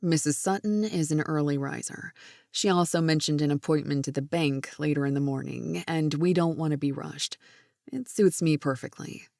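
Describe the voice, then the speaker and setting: A woman voicing a sober, businesslike man with a low, measured, firm tone in an audiobook. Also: gravelly, a little mellow, no echo, studio quality.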